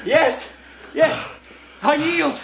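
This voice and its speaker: An actor playing a knight's eager, dogged younger brother in a historical radio drama. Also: male voice, extremely high pitch, very slow, creaky, exaggerated voice. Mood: eager, strained.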